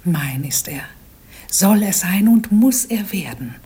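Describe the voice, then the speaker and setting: A woman's voice: medium pitch, lively intonation, takes it slowly, dark tone, a little hiss or hum. A woman playing a lovesick slave torn by passion, half whispering in anguish in a theatrical radio play.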